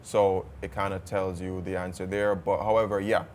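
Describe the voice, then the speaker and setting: A Jamaican man chatting casually as a travel vlogger, relaxed and talkative with some stammered restarts. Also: low voice, moderate pace, a little hiss or hum. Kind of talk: casual travel vlog chat.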